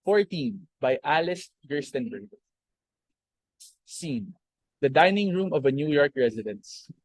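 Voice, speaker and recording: A young man narrating the stage directions of a play in an even, descriptive reading. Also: a little high, a little slow, no room sound, low-quality recording. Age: young adult. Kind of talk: scripted narration.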